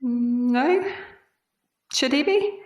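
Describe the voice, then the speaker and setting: An actress playing a young, sardonic Victorian nurse with a wry, chatty manner in an audio drama. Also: female voice, high-pitched, animated, exaggerated voice. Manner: wry, conversational, quick to grumble.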